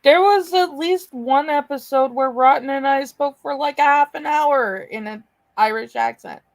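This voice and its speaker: A woman's voice: high-pitched, normal speed, creaky. A woman in a casual podcast chat, blunt and frequently laughing.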